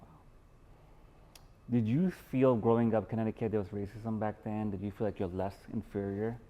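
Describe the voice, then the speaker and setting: A man's voice: on the low side, fairly even intonation, normal speed, muffled recording. A man from Pakistan hosting a podcast in a guest's house, opening with formal showman greetings and asking earnest questions. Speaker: podcast host. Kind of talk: podcast interview.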